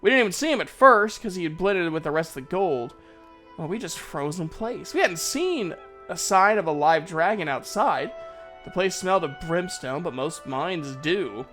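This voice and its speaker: A man's voice: a little high, lively intonation, moderate pace, a bit bright, faint music behind. A man narrating a fantasy story in the first person, in a folksy, conversational storyteller's manner. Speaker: narrator, voice actor.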